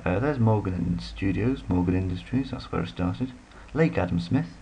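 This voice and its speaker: A man's voice: sits low, lively intonation, moderate pace, gravelly, mellow, a little hiss or hum. A man giving rambling, casual commentary while playing a strategy game, full of self-deprecating asides. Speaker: let's play commentator.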